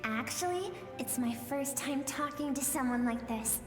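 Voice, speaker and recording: A woman playing a gentle, enigmatic guide of an inner world in a video game, speaking in measured, poetic phrases. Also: high-pitched, lively intonation. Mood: gentle, curious. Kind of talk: dramatic video game voice acting. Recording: reverberant game dialogue with music behind.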